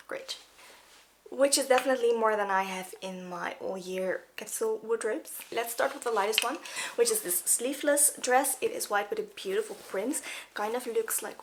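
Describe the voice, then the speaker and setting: A woman's voice: on the high side, a bit bright, a little hiss or hum. A young woman from the Netherlands, chatting casually through a clothing walkthrough with fillers and asides while she is losing her voice. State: losing her voice, sore throat. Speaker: fashion vlogger. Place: indoors, small plain room.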